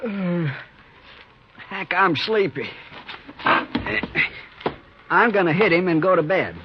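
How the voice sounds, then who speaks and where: A man's voice: high voice, expressive, slow pace. An actor playing a sullen, dim outlaw henchman in a 1950s radio western, with a whining, drawling rural American delivery. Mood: surly. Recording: noisy, dull 1950s radio recording.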